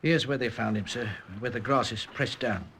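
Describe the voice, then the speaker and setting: A man's voice: medium pitch, normal speed, fairly bright tone, slight background noise, natural voice. A British man playing a deferential village police constable reporting to his superior in a radio drama. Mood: subdued. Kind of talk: 1950s radio drama acting.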